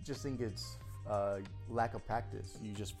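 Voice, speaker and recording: A man whose first language was Spanish, the camera guy joining a casual podcast chat with quick, matter-of-fact remarks. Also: on the low side, steady tone, creaky, darkish tone, soft background music. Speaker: camera operator.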